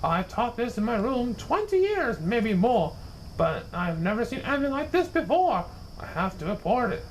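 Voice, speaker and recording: A man voicing a flustered, scolding veteran female schoolteacher in a storybook, brisk and indignant. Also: extremely high pitch, sing-song, a little hiss or hum, poor audio. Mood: shocked.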